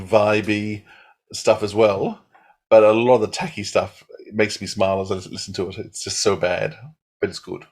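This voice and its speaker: A man chatting casually on a podcast as a panellist, enthusiastic and rambling. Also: lowish voice. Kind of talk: casual chat, reviewing.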